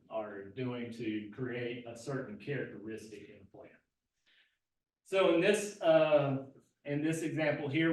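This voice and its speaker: A soft-spoken man, an extension agent, teaching a gardening class and explaining at an easy pace with frequent fillers and restarts. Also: medium pitch. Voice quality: soft-spoken, not projecting. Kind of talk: live classroom lecture. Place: indoor class room. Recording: very echoey room, mic far from speaker.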